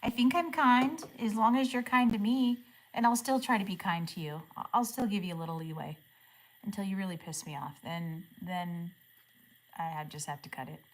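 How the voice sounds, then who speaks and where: A woman's voice: medium pitch, normal speed, a little hiss or hum, low-quality recording. Chatty woman in her forties, livestreaming. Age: 40s. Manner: chatty, asks viewers friendly questions; drops to a soft murmur when reading comments aloud. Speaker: live streamer. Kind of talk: casual livestream chat with viewers.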